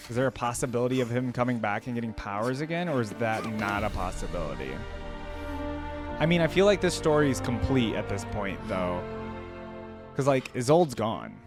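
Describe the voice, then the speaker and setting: A man's voice: mid-range voice, lively intonation, moderate pace. A man hosting a reaction video, commenting casually and musing aloud in questions. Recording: music playing behind the voice.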